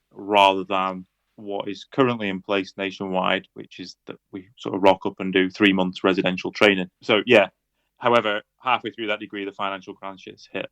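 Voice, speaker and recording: A Lancashire man in his thirties with a lowish voice, talking in a halting, careful way full of ums and dry asides. The sound is dead dry, with a dull, lo-fi edge. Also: a bit bright, no room sound. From Lancashire, England. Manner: hesitant and measured, with frequent fillers and dry humour. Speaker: guest, police officer. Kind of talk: conversational podcast interview.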